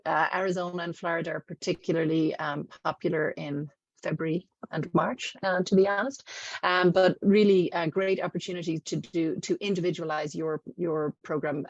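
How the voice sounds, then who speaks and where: A woman's voice: lowish voice, fairly even intonation, moderate pace, creaky, no echo, muffled recording. A middle-aged woman, a residency program director, giving a casual webinar talk with many ums and asides. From not from the Midwest. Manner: warm, chatty, many fillers. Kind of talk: webinar presentation. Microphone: video call.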